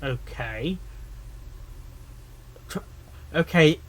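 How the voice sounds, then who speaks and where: Male reaction host, slightly high voice, unscripted and casual, tentative asides with repeated phrases. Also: animated, drawn-out words. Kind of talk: casual off-the-cuff reaction commentary.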